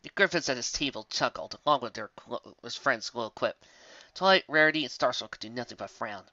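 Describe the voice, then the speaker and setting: Low-pitched, animated woman reading fiction. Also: fairly quick, no room sound, highs cut off. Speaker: story reader. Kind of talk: scripted fiction narration.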